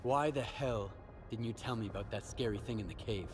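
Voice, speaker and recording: An actor playing a cool, businesslike male survivor hero in a video game, speaking in a terse, measured, matter-of-fact way. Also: on the low side, music in the background, close to an everyday voice. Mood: annoyed. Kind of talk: video game protagonist acting.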